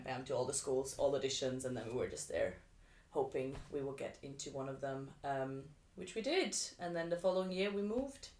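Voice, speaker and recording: Woman, low voice, telling her story casually, roomy echo. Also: darkish tone. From Vienna, Austria. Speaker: interview guest, dancer. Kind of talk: casual chat, telling personal experiences. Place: living room. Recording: echoey room.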